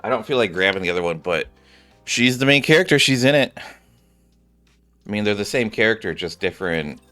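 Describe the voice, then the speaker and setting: Low-voiced, animated male game streamer. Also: moderate pace, faint music behind. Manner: rambling, reacting aloud. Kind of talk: casual game commentary.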